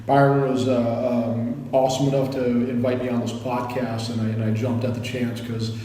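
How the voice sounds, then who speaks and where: A man in his thirties who grew up in Kuwait, a podcast guest who tells his stories with lively acted-out quotes. His voice is mellow and dark. He is heard in an echoey room with a long reverb and some faint noise behind him. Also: mid-range voice, normal speed. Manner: animated storyteller who acts out quotes. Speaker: guest, behavior analysis instructor. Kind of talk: casual podcast storytelling.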